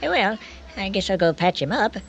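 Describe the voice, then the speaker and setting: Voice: mid-range voice, animated, a little fast, a bit bright, a little hiss or hum. A performer playing an unflappable country doctor in his eighties, folksy and hesitant, in a radio comedy drama. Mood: puzzled, hesitant. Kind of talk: old-time style radio comedy drama acting.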